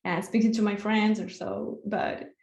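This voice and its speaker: A young woman from Colombia whose first language was Portuguese, speaking as an interview guest, soft-spoken with frequent fillers. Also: medium pitch, steady tone, measured pace, creaky, echoey room, lo-fi sound. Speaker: guest artist. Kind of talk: casual interview chat.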